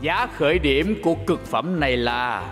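A man voicing an excitable, showy auctioneer in a cartoon dub, announcing theatrically. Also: on the high side, moderate pace, over music, close to an everyday voice. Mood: showy, building suspense. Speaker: auctioneer character. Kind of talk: animated motion comic dub, showy auction calling.

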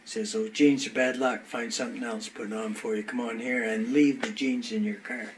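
A man's voice: mid-range voice, fairly even intonation, normal speed, background noise. An older man, chatting casually and plainly as he explains old footage. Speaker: local commentator on old film. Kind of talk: casual reminiscing chat over old footage.

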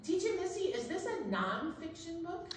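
A woman chatting in an animated way as a children's storytime presenter, speaking directly to young listeners. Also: extremely high pitch, moderate pace. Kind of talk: casual children's storytime chat. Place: library children's room. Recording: very echoey room, distant mic, faint noise.

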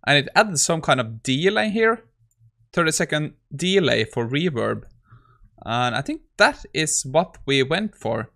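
A man in his thirties talks through code in a casual, conversational way. He sounds like a tech creator at his desk, speaking at a middling pitch into a close microphone. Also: expressive, unhurried, vocal fry, pushed and bright. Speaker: tech YouTuber. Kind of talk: casual screen-recorded coding walkthrough.

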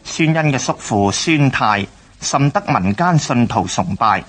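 A man with a Hong Kong Cantonese accent narrating a historical radio drama in a formal, measured, scripted way. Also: medium pitch, animated.

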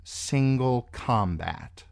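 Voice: mid-range voice, animated, drawn-out words, gravelly, a little mellow, no echo, clean studio sound, voice pushed up, heavily acted. A narrator voicing a proud, impatient, scheming queen in an audiobook, with an imperious, cutting and scornful manner.

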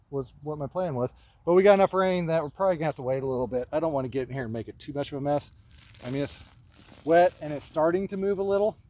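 A man in his thirties walking a vegetable field outdoors and talking casually into a phone camera held at arm's length, offhand and relaxed as he points things out. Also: a little high, no echo. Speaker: market gardener giving a farm tour. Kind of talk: casual walking tour talk.

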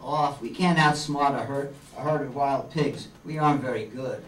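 An amateur actor playing an eager, plucky young castaway sidekick in a staged reading of an old radio adventure. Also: male voice, medium pitch, normal speed, fairly bright tone, close to an everyday voice. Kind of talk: 1930s children's radio adventure acting, read from script. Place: meeting room stage before seated audience. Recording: roomy, distant camera mic, faint noise.

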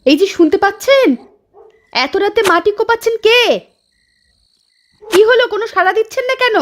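A woman voicing a frightened village wife who talks in an anxious, shrill way. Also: expressive, moderate pace. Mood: puzzled. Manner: shrill, anxious, cries out and pleads. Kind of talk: dramatized storytelling.

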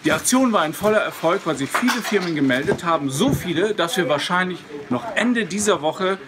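Higher-pitched male reporter in loud noise. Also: expressive, fairly quick. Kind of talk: on-location news report. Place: donation sorting station. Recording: loud noise of a busy sorting hall.

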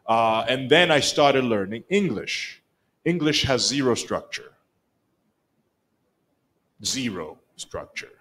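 A man's voice: medium pitch, slow pace, long pauses. Rwandan man preaching. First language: Kinyarwanda. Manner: animated storytelling, acts out quoted lines. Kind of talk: sermon. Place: church sanctuary, mid-sized room. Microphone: pulpit microphone, filmed by a far camera.

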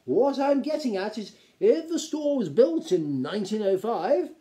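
Man acting lesser gnome lord, storybook, high-pitched, lilting, tentative, polite, roundabout. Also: big pitch swings, unhurried, dark tone. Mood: hesitant doubt. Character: hesitant minor gnome lord, politely doubtful.

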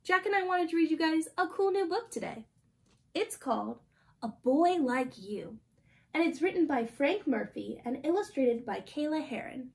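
A woman in her twenties reading a children's picture book aloud with deliberate, gently expressive phrasing. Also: sits high, gravelly. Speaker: storytime reader. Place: small indoor room.

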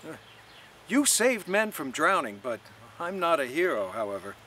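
A man's voice: fairly high pitch, sing-song, normal speed, sharp tone, a little hiss or hum, pitched above the performer's own voice. A man voicing an anxious, gloomy young man who speaks tensely. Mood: uneasy.

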